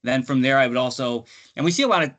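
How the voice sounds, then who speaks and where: Bright-voiced man giving business advice. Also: medium pitch, animated, quick pace. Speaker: panelist. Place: online webinar call.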